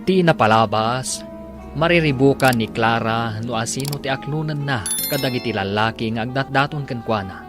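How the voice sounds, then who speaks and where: A man's voice: medium pitch, normal speed. Ilocano male radio drama announcer over music. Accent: Ilocano Filipino. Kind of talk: scripted dramatic radio narration. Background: instrumental music.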